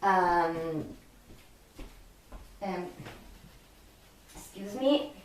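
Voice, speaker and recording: A woman with non-native English talks very slowly, with frequent hesitations as she searches for her words. Her pitch moves in lively swings while she explains things off the cuff. The sound is echoey and roomy. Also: slight background noise. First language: not English. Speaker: video presenter. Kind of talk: unscripted explanatory vlog talk. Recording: roomy echo, distant microphone.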